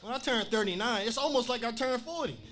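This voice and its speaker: Easygoing 40-something guy on a podcast, pretty high bright voice. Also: fast talker. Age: 40s. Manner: easygoing, relaxed storytelling. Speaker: podcast co-host. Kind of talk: casual chat.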